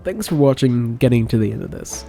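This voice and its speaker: A man's voice: medium pitch, very animated, fairly quick, creaky, mellow, soft background music. Congested man explaining game rules. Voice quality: nasal. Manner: enthusiastic, plain explaining. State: congested from a cold. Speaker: game guide creator. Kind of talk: explanatory voice-over over slides. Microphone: new microphone.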